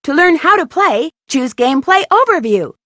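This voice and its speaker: A curious, upbeat young girl detective in a point-and-click mystery game. Her voice sits fairly high and swings up and down as she muses over clues and asks for hints. The studio recording is close and dry. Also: sing-song, moderate pace, sharp tone, dry recording, heavily acted. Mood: chatty. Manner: inquisitive, chatty, asks for hints. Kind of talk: studio video game voice acting.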